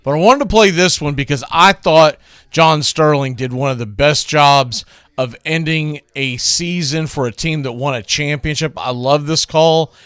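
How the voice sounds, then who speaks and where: A man's voice: on the high side, normal speed, fairly bright tone, clear, resonant tone, no room sound, studio quality. A man hosting a baseball radio show, introducing classic game calls in a conversational, opinionated way.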